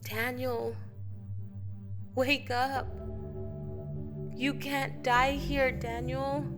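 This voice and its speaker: Woman as a high, slow, grief-stricken fighter. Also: little pitch movement, over music. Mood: tearful urgency. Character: grief-stricken female android fighter, tender and desperate. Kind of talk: radio drama acting.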